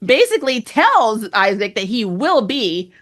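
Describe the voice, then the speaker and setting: A woman's voice: a little high, sing-song, a little slow, a bit bright. A woman hosting a fan review, casually recapping a TV show with chatty, slangy, gushing enthusiasm and plenty of opinion. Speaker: host of a fan TV review channel.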